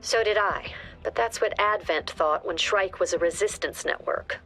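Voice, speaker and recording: A composed, authoritative female commander briefs her squad in a video game. Her mid-range voice is measured and clipped, with prominent music behind it. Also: medium pitch, bright, forceful tone.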